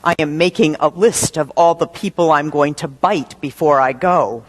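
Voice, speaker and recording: A woman minister giving a sermon in a deliberate manner, with dramatic pauses. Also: deep voice, a little hiss or hum.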